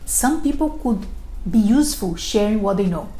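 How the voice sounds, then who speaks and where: Echoey, mellow non-native English-speaking woman. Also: medium pitch, animated, moderate pace, soft background music. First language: not English. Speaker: recruiter presenting a video series. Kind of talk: prepared self-introduction to camera. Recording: roomy echo, faint background noise.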